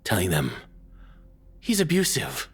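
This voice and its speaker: A man playing a cruel, controlling ex-boyfriend in an intimate audio roleplay, taunting and belittling slowly in a breathy, husky voice that drops toward whispers. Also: on the low side, huge pitch swings, full character voice. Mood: cold contempt. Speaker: voice actor.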